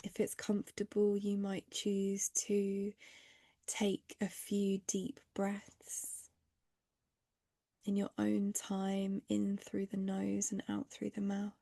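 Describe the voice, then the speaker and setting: A woman guides a meditation very slowly and gently, leaving long pauses. Her voice is mellow and breathy with a noticeably creaky edge, sometimes sinking close to a whisper. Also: medium pitch, completely flat delivery, no room sound.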